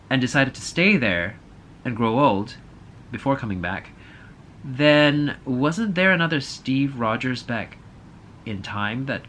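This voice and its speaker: Male vlog reviewer, mid-pitched and bright, casual and thinking aloud, slight background noise. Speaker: movie reviewer host. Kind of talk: casual opinionated review to camera.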